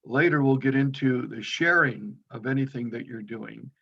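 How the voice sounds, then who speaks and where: A man hosting an online meeting who asks the participants to introduce themselves, speaking in a halting way with many fillers. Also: medium pitch, vocal fry, breathy edge. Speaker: meeting host. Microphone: video call. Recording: dull lo-fi audio.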